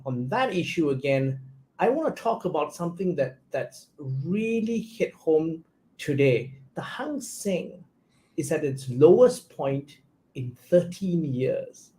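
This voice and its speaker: A man hosting a live business news interview, asking brisk questions with some fillers. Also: fairly high pitch, a little mellow. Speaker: news show host.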